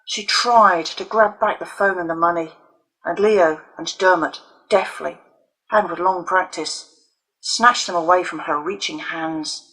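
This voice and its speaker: A woman narrates an audio novel for older children. Her voice is sharp and forceful with a husky grain, and she tells the story deliberately and dramatically. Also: on the low side, poor audio. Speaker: author reading own novel.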